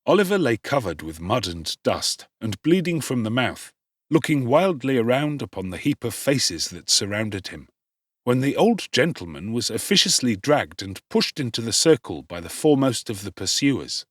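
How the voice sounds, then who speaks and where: A man reads literary narration with a slightly husky, textured voice. His pitch moves widely and expressively, and his phrasing is theatrical and emphatic. The sound is dry and close. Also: sing-song, normal speed, no room sound. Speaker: audiobook narrator.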